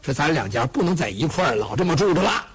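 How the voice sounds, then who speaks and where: A man's voice: fairly high pitch, very animated, quick pace, slightly rough, pitched below the performer's own voice, full character voice. A man doing a worried old mother. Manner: earnest, worried.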